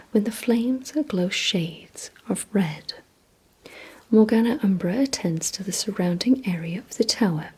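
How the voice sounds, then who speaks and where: Slow, breathy whispering woman. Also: mid-range voice. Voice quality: breathy, mostly unvoiced whisper. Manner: slow, deliberate, gently instructing. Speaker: ASMR host. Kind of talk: whispered ASMR vampire roleplay, addressing the listener.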